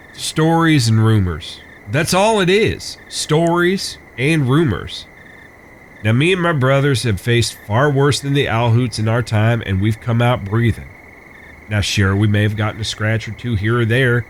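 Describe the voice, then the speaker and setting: A man's voice: mid-range voice, measured pace, a little hiss or hum, close to an everyday voice. A man playing a cocky, chivalrous Old West gunslinger with folksy, courtly phrasing in a western audio drama. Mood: reassuring, confident.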